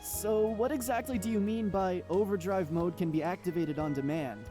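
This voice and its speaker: A man voicing an excitable, jokey teenage boy pilot, dramatic and slangy, in visual novel dialogue. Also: sits high, normal speed, over music, close to an everyday voice. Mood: incredulous, joking.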